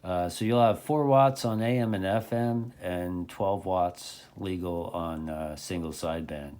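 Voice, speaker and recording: A man giving a casual, conversational product recommendation in a halting way, often repeating his words. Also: low-pitched, mellow. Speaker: CB radio hobbyist presenter.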